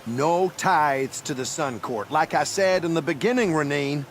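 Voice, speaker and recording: A man as a high-voiced bickering market merchant. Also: unhurried, a little hiss or hum, lightly acted. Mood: haggling. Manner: bickering, complaining. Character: grumbling, haggling male market merchant, quarrelsome with his partner. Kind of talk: video game dialogue acting. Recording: music behind the dialogue.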